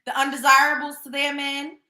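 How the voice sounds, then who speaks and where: Brief: young woman host, blunt and scolding, high bright voice, echoey room. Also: flat delivery, a little fast. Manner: blunt, scolding, emphatic, repeats points. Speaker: show host. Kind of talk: opinionated commentary monologue.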